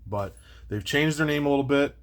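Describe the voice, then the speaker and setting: A man's voice: fairly high pitch, a little fast. A man hosting a casual, unscripted unboxing and review, rambling and circling back to repeat his points. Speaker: video host doing product unboxing and review.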